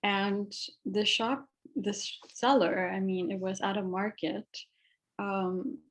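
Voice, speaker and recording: A woman's voice: mid-range voice, fairly even intonation, slow. A woman giving a panel talk about her artwork, speaking in a reflective, personal way with frequent ums and uhs. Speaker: artist presenting on a panel.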